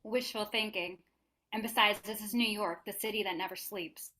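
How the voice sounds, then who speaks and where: A sharp-tongued police detective in her forties read by a woman at a crime script reading. Her voice is medium in pitch, with a dry, jaded, scolding manner. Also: fairly even intonation, moderate pace, a little hiss or hum, lightly acted, rough recording. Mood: dry, teasing.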